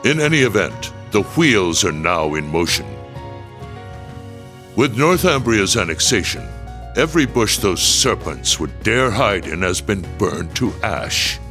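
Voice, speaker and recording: A ruthless ruler of a game drama, with a low, forceful voice that sweeps widely as it delivers cold, grandiose pronouncements. Music plays behind him. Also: male voice, very animated, exaggerated voice. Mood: cold resolve. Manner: grandiose, deliberate, imperious. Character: ruthless, commanding middle-aged statesman, cold and scheming. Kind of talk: English video game dub acting.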